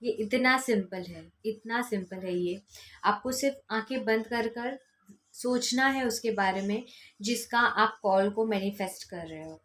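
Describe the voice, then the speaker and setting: A woman's voice: medium pitch, unhurried, a bit bright. An Indian woman giving instructional how-to talk as a coach, repeating key steps and mixing in English words. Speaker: law of attraction coach.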